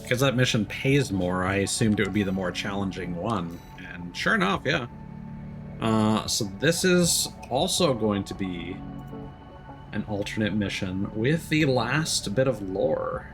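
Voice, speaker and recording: Low-voiced man streaming games, lively intonation. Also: measured pace, music in the background. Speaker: game streamer. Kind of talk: casual live gameplay commentary.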